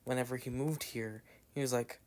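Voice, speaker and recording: A man's voice: mid-range voice, steady tone, moderate pace, a little mellow. A young man with a Southern American accent, chatting in a meandering way while playing a video game. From Southern United States. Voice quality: meandering with frequent "like" fillers and trailing asides. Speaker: gameplay commentator. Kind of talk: rambling casual commentary.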